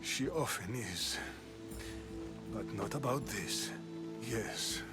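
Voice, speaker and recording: A man's voice: fairly low pitch, lively intonation. An actor playing an ailing, devout elder statesman, weary and affectionate, in a dramatic video game scene. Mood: solemn, weary. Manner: slow, measured. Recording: loud music under the voice.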